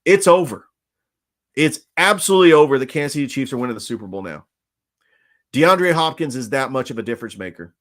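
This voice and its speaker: A man's voice: mid-range voice, animated, fairly quick. A man hosting a solo sports talk podcast, opinionated and ranting, his voice strained and nearly gone.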